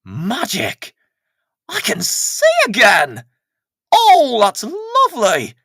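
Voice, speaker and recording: A man's voice: high-pitched, huge pitch swings, extremely slow, bright, forceful tone, breathy edge, no room sound, studio quality, pitched above the performer's own voice, exaggerated voice. A man voicing a friendly, good-natured village postman in a children's story, with a cheerful, earnest manner. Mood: delighted, cheerful.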